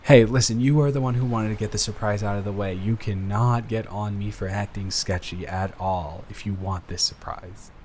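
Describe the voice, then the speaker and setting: A man playing a nervous, adoring young boyfriend in an improvised intimate roleplay audio, speaking in a halting, bashful, rambling way. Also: on the low side, fairly even intonation, a little mellow, slight background noise, natural voice. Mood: nervous, stalling.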